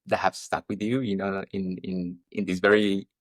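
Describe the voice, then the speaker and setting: Low-voiced man hosting a podcast. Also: little pitch movement, normal speed, dry recording. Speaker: podcast host. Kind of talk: conversational interview.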